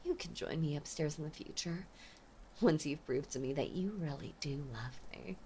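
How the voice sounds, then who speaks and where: A woman playing an obsessive, possessive mafia heiress ex-lover in an intimate audio drama, speaking with menacing sweetness and often slipping toward a breathy whisper. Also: sits low, moderate pace, darkish tone, slight background noise, natural voice. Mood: falsely tender. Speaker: voice actor. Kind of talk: intimate dramatic roleplay monologue.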